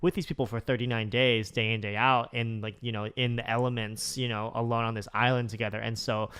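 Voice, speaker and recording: A man's voice: mid-range voice, flat delivery, a little fast, fairly bright tone, clear, resonant tone. A man co-hosting a podcast in casual chat, rambling and enthusiastic with lots of "like" and restarts. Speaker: podcast co-host.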